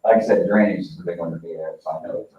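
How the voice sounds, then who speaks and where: A man's voice: sits low, fairly even intonation, fast. A man leading a meeting discussion in casual talk. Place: echoey meeting room, picked up from afar. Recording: roomy, distant, muffled audio with faint noise.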